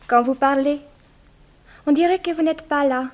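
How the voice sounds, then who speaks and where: A woman's voice: high voice, moderate pace, natural voice. An actress playing a chatty, naive and inquisitive young woman in a French radio drama. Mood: playful, curious. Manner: inquisitive, artless. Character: chatty, naive young working woman, curious then frightened.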